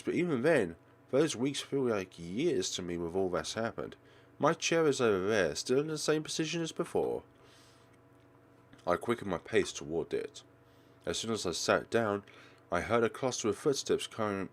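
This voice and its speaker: A man reading a visual novel aloud with dramatic delivery, adding his own commentary as a let's player. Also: fairly low pitch.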